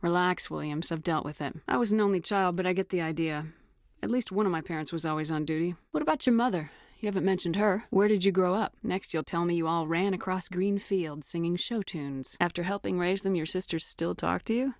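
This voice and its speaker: Actress as tough female commander, lowish pitch, firm, dry wit, guarded, video game dialogue, very dry studio sound. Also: brisk, no room sound, natural voice. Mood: dry, composed, then calm, curious, then wry. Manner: firm, dry, wry delivery.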